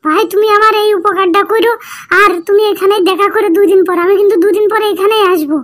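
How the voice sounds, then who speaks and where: A woman voicing an aggrieved, pleading young village wife in a comedy cartoon, with a pitched-up voice. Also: quick pace. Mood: insistent. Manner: plaintive, insistent.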